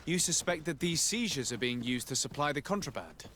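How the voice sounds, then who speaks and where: A man's voice: on the high side, animated, brisk, pushed and bright, slight background noise. An actor voicing a composed, courteous nobleman diplomat in a video game, polite and formal.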